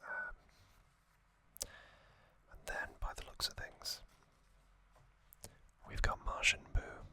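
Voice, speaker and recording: An ASMR host whispering slowly, rambling through trivia with fillers and musings. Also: pushed and bright. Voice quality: unvoiced whisper.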